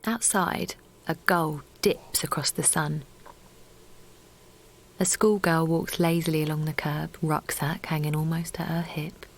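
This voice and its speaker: A woman's voice: deep voice, fairly even intonation, measured pace. An actress playing a tender young mother, delivering a contemplative first-person monologue in an audio drama. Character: tender young mother absorbed in home and children.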